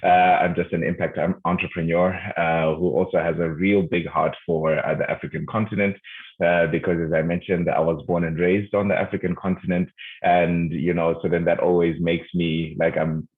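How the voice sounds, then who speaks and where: A very deep-voiced man from Zimbabwe speaks as an interview guest through a tinny, phone-like video call line. He talks earnestly with frequent uh fillers and laughs easily. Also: level tone, normal speed. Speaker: guest, entrepreneur. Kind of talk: interview answers.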